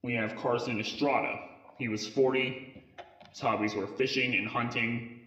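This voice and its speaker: A muffled, lo-fi recording with a roomy echo carries a man's voice at an ordinary pitch. He narrates a police case file as if for an old evidence tape, reading haltingly and sometimes tripping over a word. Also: fairly even intonation, a bit bright. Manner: halting read-aloud delivery with stumbles over words. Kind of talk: scripted narration, mock police briefing tape in an analog horror story.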